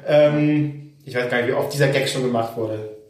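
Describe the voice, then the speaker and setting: A man's voice: mid-range voice, steady tone, normal speed, darkish tone, distant mic. A man with a cold and sore throat, co-hosting a casual chat and explaining things with a teasing edge. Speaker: podcast co-host. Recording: very echoey room, off mic.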